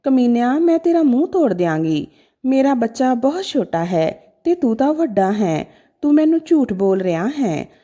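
Woman voicing a high-pitched, volatile, childlike mother. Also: sing-song, normal speed, warm tone. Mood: angry, fearful. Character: mentally disturbed mother, childlike, suspicious and volatile.

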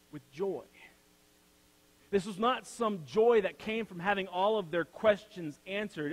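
A young man delivers a Sunday sermon from the pulpit of a church sanctuary. His voice sits fairly high for a man. He moves between forceful, rising proclamations and quiet, dropped-down phrases. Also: rough recording. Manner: builds to loud emphatic peaks, then drops to soft low phrases. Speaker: pastor.

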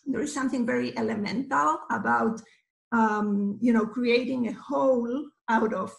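A woman in her fifties with an Argentine accent and native Spanish, talking informally as a guest in a measured, halting way, searching for words. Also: fairly high pitch, gravelly. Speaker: guest, mosaic artist. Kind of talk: informal interview talk. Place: small indoor studio room. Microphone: computer mic on video call. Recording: roomy video-call sound.

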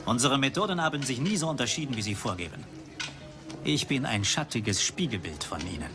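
An actor playing a suave, cynical rival archaeologist in a dubbed film, speaking in a polished, philosophical way. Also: male voice, medium pitch, animated, fairly quick, natural voice. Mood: suave, condescending. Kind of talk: dubbed 1980s film dialogue. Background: film music.

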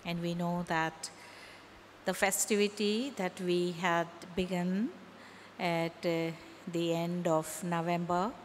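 A middle-aged woman pastor from India preaching a sermon at a pulpit, slow and deliberate, with pauses and rising emphasis. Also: lowish voice, a little hiss or hum. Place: large church hall, at a pulpit. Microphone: stand mic at the lectern. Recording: church hall reverb with faint ambience.

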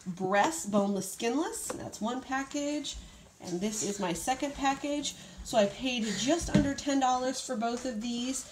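A chatty, upbeat woman in her thirties runs through a grocery haul at home, her voice sitting a little high. The room is echoey and noisy, picked up by a handheld phone. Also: unhurried. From Ohio, United States. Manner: chatty, upbeat, price-by-price rundown. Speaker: vlogger showing a grocery haul. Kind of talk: casual chat to camera. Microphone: phone camera held in hand. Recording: echoey room, background noise.

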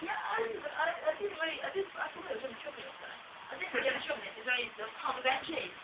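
High-voiced teen boy cooking. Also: big pitch swings, fast, roomy sound, recorded from a distance. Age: teenager. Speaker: home cook. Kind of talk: casual chat while cooking. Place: small home kitchen. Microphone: handheld camcorder. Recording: lo-fi phone-like camera audio with hiss.

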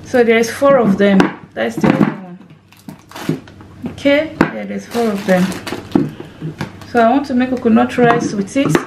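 A woman's voice: a little high, unhurried, creaky, long pauses, heavy background noise. A woman and mum chatting casually to viewers as she walks around a table of shopping at home, counting items aloud and naming prices. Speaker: lifestyle vlogger and mum. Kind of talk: casual grocery haul narration. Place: home kitchen, indoors.

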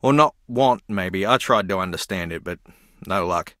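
A man's voice: sits low, moderate pace, pushed and bright, no echo, clean studio sound. An actor playing a dreamy, easily confused young man in a video game, earnest, naive and meandering as he muses. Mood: musing.

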